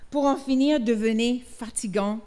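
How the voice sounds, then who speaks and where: A middle-aged woman from Mauritius, slowly reading a sermon aloud, with hesitations and restarts. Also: on the high side, warm tone. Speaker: preacher. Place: church.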